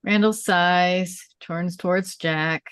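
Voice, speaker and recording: A woman reading screenplay stage directions in a measured way, as scripted narration at a table read. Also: lowish voice, steady tone, smooth, no room sound, clean studio sound.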